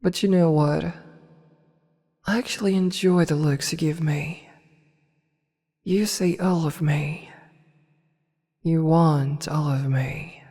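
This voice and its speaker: Woman acting as evil empress, slow n sultry, soft dark breathy voice, bossy and teasing, asmr roleplay in a big echoey hall. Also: low-pitched, fairly even intonation, heavily acted. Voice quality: breathy, often slipping into whisper. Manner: slow, teasing, imperious, deliberate. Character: seductive, commanding evil empress, adult woman, possessive. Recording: long reverberant hall echo.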